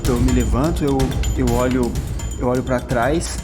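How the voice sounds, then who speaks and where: A man with a mid-range voice plays a tabletop role-playing game. He improvises his character's moves haltingly, repeating words, with music clearly heard behind him. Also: mid-range voice, fairly even intonation.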